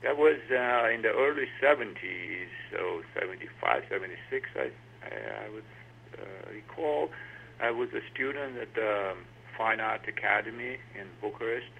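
A man's voice: on the low side, expressive, moderate pace, gravelly, a bit bright. A man in his sixties from Romania with a Romanian accent, hesitant with many fillers, interviewed by phone. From Romania, Transylvania. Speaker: guest pipe maker. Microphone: telephone. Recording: phone line with faint noise.

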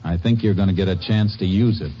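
A man playing a deep, steady lawman. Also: fast talker, heavy background noise, lightly acted. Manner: calm, firm, dryly sardonic. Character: steady, dry-witted male Texas Ranger. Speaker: narrator and lead character. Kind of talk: 1950s radio drama acting. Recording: muffled old radio broadcast.

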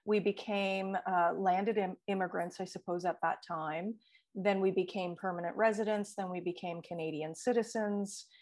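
A woman's voice: medium pitch, steady tone, moderate pace, a little mellow. Reflective middle-aged woman telling her story. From Italy. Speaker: guest. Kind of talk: conversational interview.

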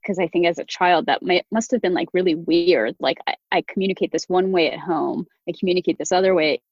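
A woman's voice: lowish voice, fast, no room sound. A woman CEO, a guest on a podcast, talking casually and chattily with lots of "like" fillers. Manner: chatty, many "like" fillers, laughs easily. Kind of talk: casual conversational interview. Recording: dry, dull lo-fi sound.

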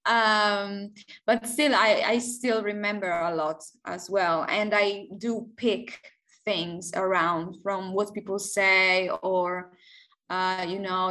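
A woman in her thirties from southern Italy with an Italian accent, speaking English in a casual interview and pausing often to find words. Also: medium pitch, a bit bright, rough recording. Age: 30s. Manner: hesitant, many pauses and fillers, light laughs. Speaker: guest.

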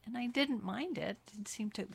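A woman's voice: on the high side, normal speed, gravelly, slightly rough. A woman pastor, a guest in an interview, talking in a reflective, hesitant way with many fillers. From upstate New York. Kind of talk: casual interview chat.

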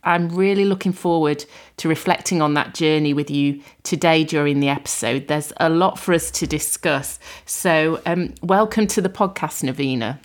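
A woman hosting a health podcast, reading a polished introduction and asking measured, polite questions. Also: sits low. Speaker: podcast host. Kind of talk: scripted podcast introduction and interview.

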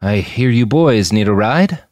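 A man's voice: fairly low pitch, expressive, unhurried, darkish tone, no room sound, studio quality, pitched above the performer's own voice. Man playing a weary, kindly older army major. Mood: weary, warm.

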